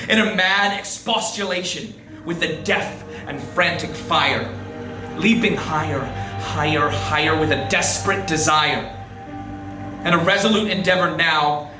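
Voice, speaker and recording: A man giving a dramatic, incantatory poetry recitation, drawing out repeated words theatrically. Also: sits high. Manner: theatrical, incantatory, drawn-out repetitions. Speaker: poetry performer. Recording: roomy echoing voice over faint music.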